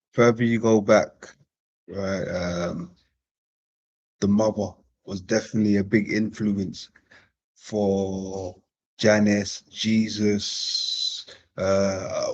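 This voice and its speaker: A man hosting a live-streamed chat with a husky, somewhat low voice. He is laid-back, loops back on his own phrases and tosses out slangy greetings. The sound is dull and lo-fi. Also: a little mellow. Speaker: host of a live-streamed panel. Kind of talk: casual chat, greeting viewers.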